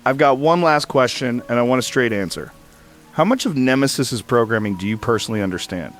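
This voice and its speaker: A man's voice: mid-range voice, lively intonation, fairly quick, natural voice. A man playing a blunt, skeptical talk show host with a casual, challenging way of interviewing. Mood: skeptical, pressing.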